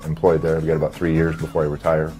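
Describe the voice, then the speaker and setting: A man in his fifties giving a halting testimonial, full of fillers. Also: rumbling low, completely flat delivery, darkish tone, faint music behind. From Michigan. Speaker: student, border patrol agent. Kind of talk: testimonial interview.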